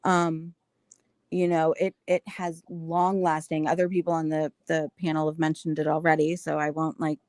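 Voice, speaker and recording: Woman panelist, earnest and measured, lower pitch, dry recording. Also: flat delivery, a little mellow, dry recording. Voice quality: self-described naturally high-pitched voice. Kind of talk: panel discussion.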